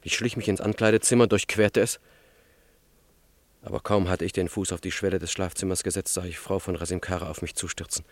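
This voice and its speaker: An actor playing a brooding young student revolutionary in a radio drama, intense, deliberate and defensive. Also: male voice, low-pitched, fairly even intonation, no echo, close to an everyday voice. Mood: confiding, shaken. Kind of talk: dramatic radio play dialogue.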